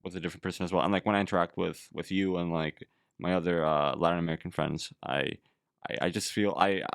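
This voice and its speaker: A man's voice: low-pitched, steady tone, quick pace, gravelly, clean tone, dry recording, studio quality. A man hosting a casual conversation, talking reflectively and rambling with lots of "like". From Canada. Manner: reflective, rambling, heavy use of "like".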